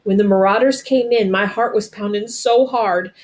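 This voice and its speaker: A woman reads a story aloud in a medium-pitched voice. Her narration is expressive and dramatic. She shapes her phrases deliberately, as a storyteller does.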